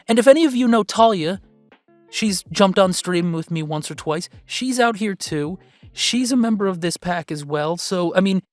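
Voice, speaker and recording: A woman voicing a young male werewolf streamer, soft-hearted and excitable, talking to viewers in halting, heartfelt, informal chatter in an audio roleplay. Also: on the low side, dry recording, lightly acted. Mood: excited, grateful.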